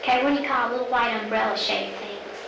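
A woman's voice: a little high, steady tone, fast talker, vocal fry. A woman interviewer patiently coaxing answers with prompting questions. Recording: echoey, distant, noisy recording.

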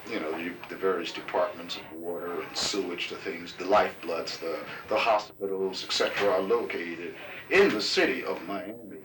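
A man of thirty being interviewed, answering in a deliberate, hesitant way with many pauses and fillers. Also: sits low. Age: 30s. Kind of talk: casual oral-history interview. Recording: roomy, distant, noisy tape recording.

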